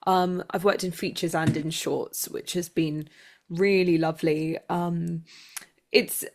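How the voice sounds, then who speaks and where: A woman's voice: fairly low pitch, steady tone, takes it slowly, a little mellow. A young adult woman chatting casually as an actor being interviewed, giggly and self-deprecating.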